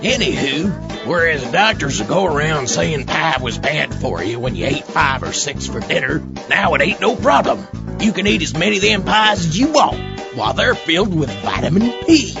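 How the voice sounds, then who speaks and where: A man's voice: fairly high pitch, sing-song, normal speed, vocal fry, pauses often. A man playing a folksy rural farmer selling pies in a hokey radio commercial. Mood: folksy, cheerful pitch. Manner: hokey, folksy salesman drawl. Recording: dull lo-fi audio with music behind.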